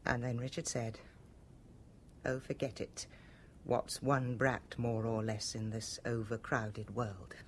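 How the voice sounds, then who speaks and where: A woman's voice: a bass voice, flat delivery, slow, a little hiss or hum. A woman in a radio drama playing an anxious, genteel British wife, well-spoken and hesitant. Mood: bitter recounting.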